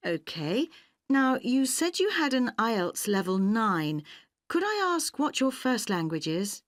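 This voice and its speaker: A woman acting a polite, efficient university admissions officer in a scripted listening-test dialogue, speaking clearly. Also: on the high side, very animated, takes it slowly, no echo, studio quality. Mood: polite, businesslike. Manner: polite, clearly enunciated, businesslike.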